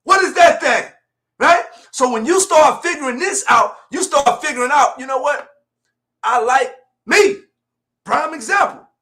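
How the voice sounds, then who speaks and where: A man in his forties delivers motivational preaching in a very high, sharp voice. He speaks straight to his listeners in plain colloquial talk, repeating key lines for emphasis and swinging between quieter asides and shouts. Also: animated, measured pace. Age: 40s. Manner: colloquial, direct address to listeners. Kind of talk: fiery motivational preaching on a livestream.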